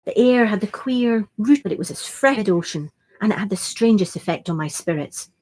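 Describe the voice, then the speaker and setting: A woman's voice: mid-range voice, animated, fairly quick, darkish tone. A woman reading a classic adventure novel aloud as a first-person narration. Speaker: reader.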